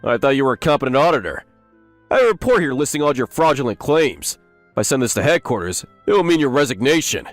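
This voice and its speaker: A man's voice: mid-range voice, sing-song, fast, no room sound, faint music behind, close to an everyday voice. A man playing a pompous, theatrical, corrupt office boss in a manga dub. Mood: stern, accusing.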